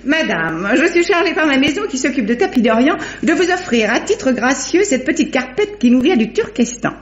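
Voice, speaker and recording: An actress playing a resourceful, smooth-tongued lady sleuth in a 1950s French radio mystery, articulate and polite. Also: sits high, very animated. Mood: smooth sales patter. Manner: polite, quick-witted, articulate. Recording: dull old radio recording.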